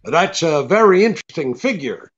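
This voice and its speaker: Guy doing tough radio private eye, wry n offhand, lively pitch, slightly dull sound. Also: moderate pace. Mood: wry, casual. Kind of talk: 1940s radio detective drama acting.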